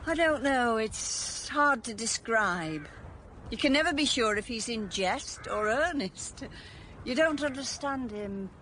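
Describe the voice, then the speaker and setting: Lilting, kindly elderly housekeeper. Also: female voice, on the high side, expressive, takes it slowly, fairly bright tone, a little hiss or hum. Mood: musing. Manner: kindly, fussing, talkative. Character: neat little elderly English housekeeper, kindly, chatty, motherly. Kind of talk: radio drama dialogue.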